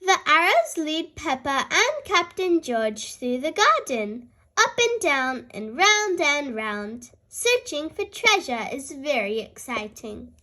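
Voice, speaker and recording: A very high-pitched, young-sounding storyteller reads a picture book for small children. The delivery is slow and highly animated, with cheerful expression aimed straight at the listener. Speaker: host and reader of a children's read-aloud show.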